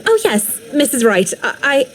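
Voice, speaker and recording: A woman's voice: high-pitched, sing-song, normal speed, a little hiss or hum. A woman playing a polite, deferential employee of a grand household in a visual novel, earnest and slightly hesitant.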